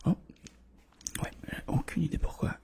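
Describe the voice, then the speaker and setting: A man whispers gently and reassuringly, playing a doctor in an ASMR roleplay, with a breathy voice and softly repeated words. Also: moderate pace. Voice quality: breathy whisper mixed with soft, creaky low voice.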